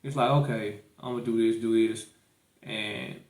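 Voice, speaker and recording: A man's voice: on the low side, fairly even intonation, moderate pace. St guy on a podcast, real casual n opinionated, keeps repeating himself, roomy echoey sound. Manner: repetitive, emphatic, colloquial. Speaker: podcast host.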